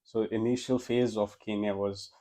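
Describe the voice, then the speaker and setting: A man's voice: lowish voice, flat delivery, normal speed, warm tone. A young man from India with an Indian accent, a Gujarati speaker, telling his story as a guest in a casual interview, often restarting his sentences. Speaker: podcast guest.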